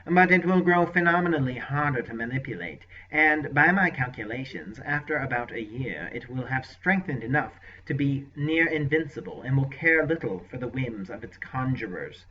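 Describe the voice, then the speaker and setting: A man voicing a scheming male sorcerer with a deeply nasal, unctuous, smug manner in an audiobook. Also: animated, moderate pace, few pauses, recorded from a distance, close to an everyday voice. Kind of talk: audiobook character voice. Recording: lo-fi dull recording.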